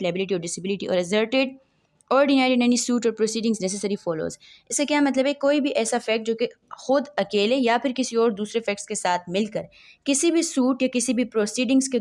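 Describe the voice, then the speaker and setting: A woman giving a law lecture, reading legal clauses slowly and then explaining them quickly, often asking questions and answering them herself. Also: fairly high pitch, a little mellow. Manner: reads legal text slowly, then explains in quick mixed Urdu-English.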